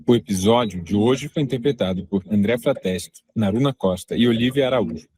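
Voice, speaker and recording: A man's voice: fairly low pitch, fairly quick, darkish tone, a little hiss or hum. A man presenting a podcast, reading its credits as scripted narration.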